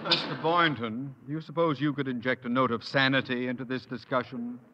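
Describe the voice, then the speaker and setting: A man's voice: fairly even intonation, brisk, pushed and bright, lightly acted. A man playing a pompous, blustering school principal. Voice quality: pompous, booming. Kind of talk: 1950s radio sitcom acting. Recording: dull, noisy old radio broadcast.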